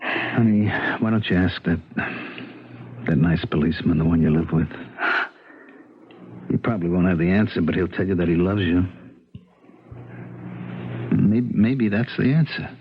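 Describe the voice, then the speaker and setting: A deep raspy guy, patient and soothing, old muffled radio play. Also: normal speed, long pauses. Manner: gentle, placating, tentative. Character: patient, consoling man soothing a distressed woman. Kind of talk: 1970s radio drama acting.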